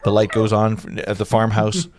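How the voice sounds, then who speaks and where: A man's voice: on the low side, completely flat delivery, brisk, darkish tone. A man narrating screenplay directions in an informal live read, stumbling and correcting himself with amused asides.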